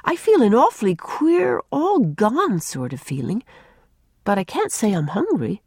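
A woman's voice: mid-range voice, wildly swooping pitch, measured pace, a little mellow, breathy edge, no echo, clean studio sound, pitched above the performer's own voice, full character voice. A woman voicing an earnest young girl, breathless and pleading, in a storybook reading. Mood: stoic. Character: earnest, remorseful young girl, eldest sister explaining herself.

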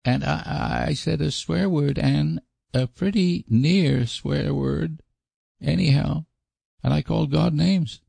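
A man's voice: a little high, slow, creaky, darkish tone, slightly rough, dry recording, voice pushed up, heavily acted. A man voicing a guilty, eager little boy in a storybook, childlike, earnest and confessional. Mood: guilty confessing. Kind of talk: storybook character voice.

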